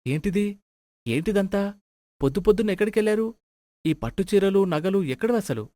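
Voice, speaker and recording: An actor playing an excitable son and husband in a comic moral story, exclamatory and questioning. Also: male voice, high voice, moderate pace, no echo. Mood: shocked, suspicious. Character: flustered, thrifty son and husband, comically bewildered.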